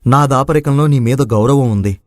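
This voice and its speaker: A man's voice: mid-range voice, moderate pace, dry recording, clean studio sound, close to an everyday voice. A man playing an earnest, respectful, devoted young son who farms, in a dramatized moral story. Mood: heartfelt. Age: young adult.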